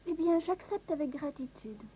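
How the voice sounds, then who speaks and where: High-voiced sweet young cartoon princess. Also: female voice, lively intonation, normal speed, mellow, poor audio. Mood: grateful. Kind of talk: dubbed children's cartoon acting. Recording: voice over clear background music.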